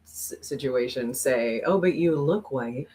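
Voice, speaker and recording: A woman co-hosting a podcast, speaking in a measured, reflective and supportive conversational way. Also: extremely low pitch, dark tone. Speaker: podcast co-host, former news colleague.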